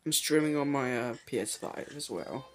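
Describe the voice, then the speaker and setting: A man talks casually in a mid-pitched voice, making off-the-cuff commentary. His delivery is halting and hesitant, like an amateur video maker recording at home. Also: animated. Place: dim room at home, filming a TV screen.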